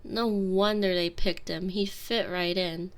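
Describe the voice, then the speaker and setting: A woman playing a sweet, wry young princess in love, in an intimate, soft ASMR roleplay style. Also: lowish voice, fairly even intonation, slow, clean tone. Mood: weary, exasperated. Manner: soft, tender, playful, breaking into whispers.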